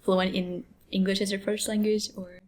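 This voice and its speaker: A woman's voice: medium pitch, level tone, moderate pace, darkish tone. A woman co-hosting an interview, speaking casually with lots of "like" and relating questions to her own family. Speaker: interviewer and co-host.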